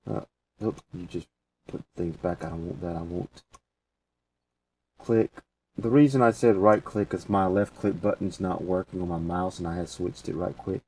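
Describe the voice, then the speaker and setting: A man presenting a casual software tutorial, talking through each step as he goes in informal, folksy phrasing. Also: sits low, normal speed, slightly rough. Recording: distant mic with faint background noise.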